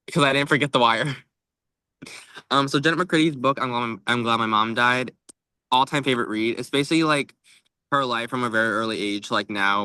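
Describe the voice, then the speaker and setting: Bright-voiced young man chatting about books. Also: mid-range voice, dry recording. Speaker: co-host of a book podcast. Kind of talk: casual book chat.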